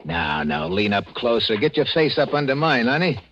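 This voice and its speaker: A man's voice: medium pitch, very animated, moderate pace, a bit bright, a little hiss or hum. An actor playing a hunted, wry American tough guy on the run, talking in clipped slang in 1940s radio drama style. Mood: feigned flirting. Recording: dull old radio recording with music.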